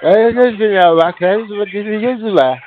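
A man's voice: sits high, fairly quick, slight background noise. Guy trolling scam callers, sarcastic, kinda muffled phone sound. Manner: sarcastic, mocking. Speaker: prank caller answering scam calls. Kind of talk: casual phone chat.

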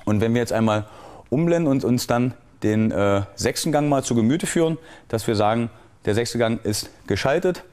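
A man speaks as a technical instructor, walking step by step through an explanation. His pitch sits in the ordinary middle range for a man. He often halts mid-sentence to search for the right term before carrying on. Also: darkish tone.

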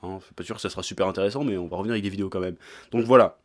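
A man's voice: low voice, rapid-fire. A young man talks casually and slangily to camera, hesitating often, like a channel host giving an update. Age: young adult. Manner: informal, slangy, frequent hesitations. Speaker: YouTube manga and anime channel host. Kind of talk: casual vlog chat to camera. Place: small indoor room with shelves of figurines.

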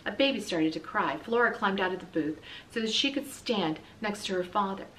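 A middle-aged woman reads a children's story aloud with expressive, dramatic, deliberate emphasis. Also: medium pitch. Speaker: school read-aloud reader. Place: small indoor room with bookshelf and blinds. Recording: distant mic, light room noise.

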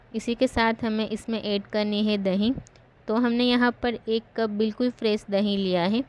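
A woman host giving calm, step-by-step voice-over narration for a home cooking recipe. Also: fairly high pitch, clear, resonant tone.

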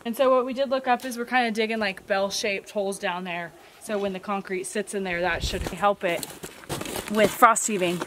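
A woman's voice: mid-range voice, fairly even intonation, moderate pace. Woman in her 30s, easygoing explainer voice, recorded outside on a camera mic with light noise. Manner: chatty and explanatory. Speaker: homesteading vlogger. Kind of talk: casual on-camera narration. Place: outdoors at a snowy forest homestead.

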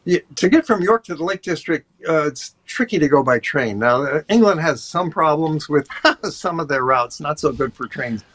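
An older man, a tour guide, chatting over a video call in a relaxed, rambling way with many fillers. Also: on the high side, normal speed. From USA. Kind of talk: casual interview talk. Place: home office, indoors.

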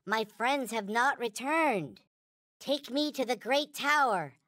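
A man voicing a small, naive, reverent toy space alien in a video game, with a high childlike cartoon voice and solemn, stilted, formal phrasing. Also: slow pace, bright, forceful tone, no room sound, clean studio sound, heavily acted. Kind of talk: video game character voice lines.

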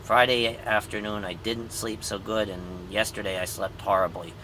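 A man's voice: on the low side, little pitch movement, moderate pace, background noise. A man in his fifties, a truck driver and grandfather, gives a rambling, easygoing vlog update from inside his truck cab, recorded on a phone, and says he is tired. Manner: rambling and easygoing, with many ums. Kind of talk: casual vlog update to viewers. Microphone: phone camera at arm's length.

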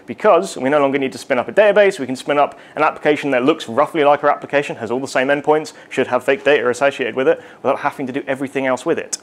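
A young man giving a casual, rambling technical talk at a meetup, with lots of fillers and asides. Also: on the high side. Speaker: conference speaker. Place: meetup room in front of a projected slide.

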